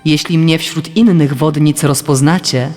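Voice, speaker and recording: A woman's voice: low voice, measured pace, dark tone, in a large hall, faint music behind. A woman reading the part of a proud, cunning lake queen in a formal, commanding manner. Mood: challenging. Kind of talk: fairy-tale storytelling.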